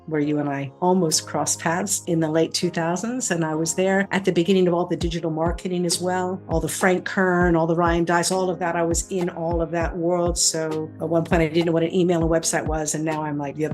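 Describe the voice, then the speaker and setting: Expressive lower-voiced woman in her fifties. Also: normal speed, faint music behind. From not Australian, moved to Australia as an adult. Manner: lively, expressive storyteller who acts out her own past thoughts. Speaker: guest coach and trainer. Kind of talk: storytelling interview answers.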